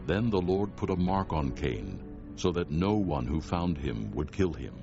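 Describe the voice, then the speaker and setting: A man narrating dramatized scripture as an audiobook, in a solemn, deliberate storytelling manner. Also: low-pitched, big pitch swings. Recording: dull band-limited recording. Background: loud music.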